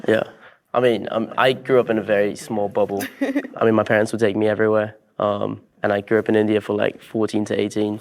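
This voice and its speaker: A young man with an English Midlands accent, talking in a flat, relaxed way. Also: on the low side, a little fast, gravelly. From Leicester, England. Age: young adult.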